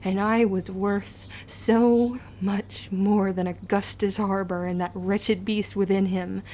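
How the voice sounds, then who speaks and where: A resolute young witch acted by a woman from an audio drama. She is solemn and intense, keeping her tone level and her pace slow and deliberate. Faint ambience lies behind her. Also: medium pitch, flat delivery, mellow, a little hiss or hum, lightly acted. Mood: bitter. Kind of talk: scripted first-person audio drama narration.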